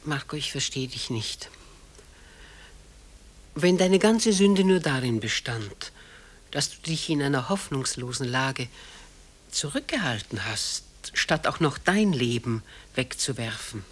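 A husky older female voice, playing a dignified mother in a radio play. She speaks with genteel, measured poise, protective of her grown son. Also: low-pitched, sing-song, full character voice. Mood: consoling. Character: old, dignified lady, protective mother of composer. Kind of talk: dramatic radio play acting.

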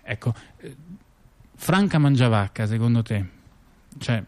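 Halting, colloquial man telling a story. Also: medium pitch, animated. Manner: halting, colloquial, repeats words, asks rhetorical questions. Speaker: performer in a two-person theatre dialogue. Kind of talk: conversational storytelling to an audience member.

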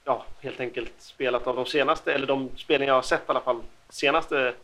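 A man presenting game facts on a podcast, speaking haltingly with many hesitant pauses. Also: mid-range voice, steady tone, sharp tone. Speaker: podcast host.

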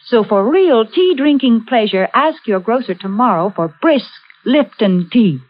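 A woman announcer delivers an old-time radio commercial. Her voice is fairly high and very animated, with salesy emphasis. The sound is narrow and tinny. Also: a little slow, soft background music. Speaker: sponsor announcer.

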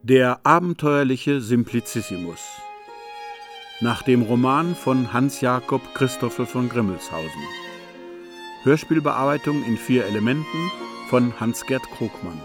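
Casting: male announcer, medium register, deliberate scripted radio credits over prominent string music. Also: medium pitch, expressive.

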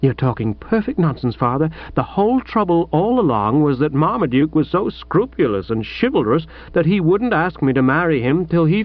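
A man doing a headstrong rich young woman. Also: fairly high pitch. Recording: dull lo-fi radio recording.

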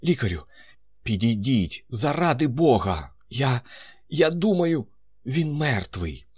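A man voicing an agitated man who shouts at a friend, then calls urgently for a doctor. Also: medium pitch, big pitch swings, slow, slightly rough, voice pushed up, full character voice. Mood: shaken alarm.

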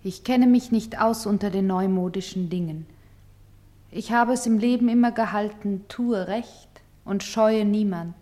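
An actress playing a pious, old-fashioned German mother in a radio play, speaking in a plain, measured way. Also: mid-range voice, warm tone, natural voice. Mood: steadfast. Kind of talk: postwar radio play acting.

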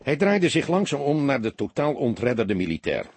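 A man's voice: medium pitch, very animated, moderate pace, slightly rough, dry recording. A man reading a detective novel as a dramatic audiobook narrator.

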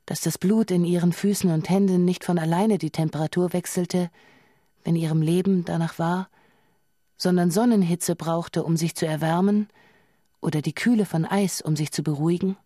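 A woman's voice: fairly low pitch, steady tone, moderate pace, dark tone, no room sound, studio quality, lightly acted. A woman narrating a radio drama as a composed storyteller, reading scripted literary prose. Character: composed female storyteller of a science-fiction saga.

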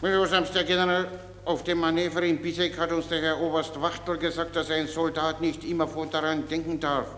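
A man's voice: on the high side, little pitch movement, fairly quick, natural voice. An actor in a German radio drama playing a naive, dutiful soldier in his fifties, guileless, deferential and stubbornly literal. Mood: eagerly dutiful. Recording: echoey hall with background noise.

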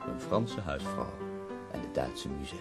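An actor playing a bedridden, dying poet who speaks in ornate, wry, theatrical phrases in a radio drama. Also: male voice, very deep voice, animated, measured pace, vocal fry, over loud music, exaggerated voice. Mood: melancholy, declaiming.

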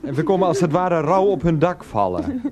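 Radio play: placating, evasive husband, mellow but lively intonation, hesitant and soothing. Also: male voice, on the high side, fairly quick. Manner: hesitant, soothing, stammers when cornered. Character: evasive, placating married man torn between lovers. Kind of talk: 1990s radio comedy drama acting.

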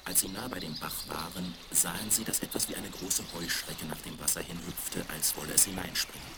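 A man's voice: sits low, steady tone, a little fast. A man reading a scripted fairy tale for children as the narrator of a radio play. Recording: crackly, very noisy 1970s vinyl record.

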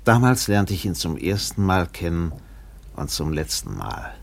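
An actor playing a seasoned sea captain who narrates a radio play in a measured, deliberate way with swelling emphasis. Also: male voice, low-pitched, very animated, gravelly, full character voice. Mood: brooding, wistful. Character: weathered sea captain recalling a doomed trader in Borneo.

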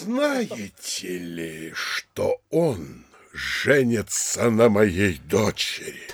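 An actor playing a self-important, blustering middle-aged town mayor in an audio play, pompous and swaggering. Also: male voice, fairly high pitch, big pitch swings, takes it slowly, creaky, slightly rough, heavily acted. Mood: indignant. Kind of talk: theatrical audio play acting. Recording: crowd murmuring behind the voice.

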